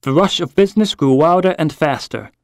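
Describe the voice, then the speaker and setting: A man narrating a short story in an expressive, measured storytelling manner. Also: on the high side, no room sound, clean studio sound. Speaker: story reader.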